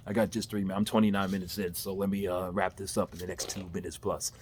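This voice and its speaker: Man in his thirties, low voice, casual self-recorded pep talk outdoors, loose and candid. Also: level tone, fast, a little hiss or hum. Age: 30s. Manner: rambling, candid, laughs at himself, swears casually. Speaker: vlogger. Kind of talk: casual motivational monologue. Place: backyard.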